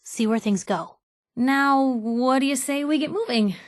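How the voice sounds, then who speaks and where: Young female game companion, feisty reporter, higher voice, sardonic, guarded yet loyal, emphatic, close dry sound. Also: unhurried, no room sound. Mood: awkward, tender. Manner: sardonic, emphatic, hesitant when vulnerable. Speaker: video game companion character. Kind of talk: naturalistic game dialogue acting.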